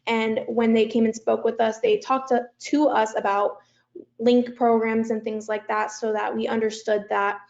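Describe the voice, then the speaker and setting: Panelist: woman, fairly high voice with vocal fry, casual, lo-fi sound. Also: fairly even intonation, moderate pace. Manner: casual, frequent ums. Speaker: transfer student panelist. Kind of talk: panel discussion answer.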